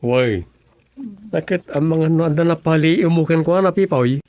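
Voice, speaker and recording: Higher-voiced practical village councilor played by a man. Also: normal speed, dark tone, no echo, close to an everyday voice. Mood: matter-of-fact. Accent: Ilocano Filipino. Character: male village councilor, practical, concerned about neighborhood order. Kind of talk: Ilocano radio comedy drama acting.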